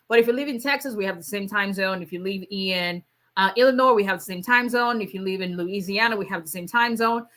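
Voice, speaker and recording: Woman immigration attorney, bright voice, casual live Q&A. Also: mid-range voice, fairly quick. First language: Yoruba. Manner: conversational, many fillers, reads out viewer questions. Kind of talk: live Q&A stream.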